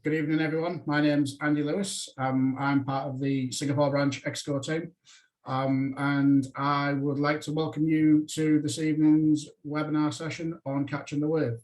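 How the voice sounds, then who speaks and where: A man from a local branch committee opening a webinar with a formal, polite welcome and a few pauses, over a video call. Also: a little high, level tone, a little mellow, roomy sound, rough recording.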